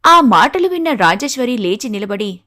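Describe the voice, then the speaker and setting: A woman's voice: on the high side, very animated, moderate pace, bright, forceful tone. A woman giving scripted narration of a moral story.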